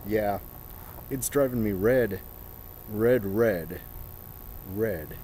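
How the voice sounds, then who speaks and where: A man in his thirties with a soft, dark tone recites in a mock-dramatic style. His phrasing is theatrical, stretched out and broken by deliberate pauses. He is recorded outdoors on a camera, with some noise behind him. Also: fairly low pitch. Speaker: performer. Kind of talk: mock-dramatic reading of song lyrics. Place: outdoors in a snowy residential yard.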